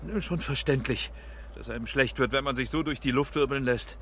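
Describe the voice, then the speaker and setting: A man's voice: medium pitch, fairly quick, a bit bright, distant mic, slight background noise, close to an everyday voice. An actor playing an intense film director absorbed in his work. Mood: placating. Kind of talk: German radio drama acting.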